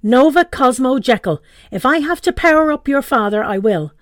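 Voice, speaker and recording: A woman's voice: high voice, animated, normal speed, no echo, clean studio sound, pitched above the performer's own voice. A woman doing an indignant exasperated mum. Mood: stern. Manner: indignant, demanding. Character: exasperated mother, old-fashioned and suspicious.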